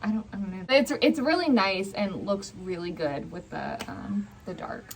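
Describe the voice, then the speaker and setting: A young woman talks in a loose, conversational way at a middling pitch. She feels her way through sentences with plenty of fillers. There is noticeable ambient noise behind her. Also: normal speed, creaky. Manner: hesitant, filler-heavy, informal delivery. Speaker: book vlog co-host. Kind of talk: casual book chat. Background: ambient noise, people in the distance.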